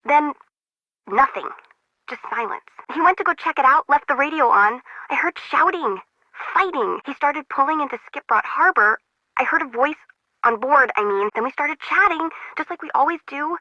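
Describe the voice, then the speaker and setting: A woman's voice: high voice, expressive, normal speed, sharp tone, dry recording, muffled recording. A woman voicing a quirky, anxious young intern who works as a radio operator in a video game, with slangy, dry, jokey delivery. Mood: scared, shaken. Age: young adult. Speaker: harbor control tower operator.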